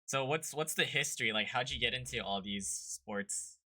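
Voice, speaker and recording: Male host, sharp crisp tone, casual and inquisitive interviewer. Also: mid-range voice, moderate pace. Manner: curious, asks follow-up questions. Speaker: podcast host.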